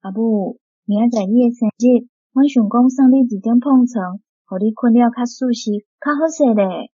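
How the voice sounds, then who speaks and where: A woman playing a grown daughter who reasons gently with her mother in a radio drama, with a Taiwanese accent. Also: a little high, normal speed, slightly rough, highs cut off. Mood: coaxing.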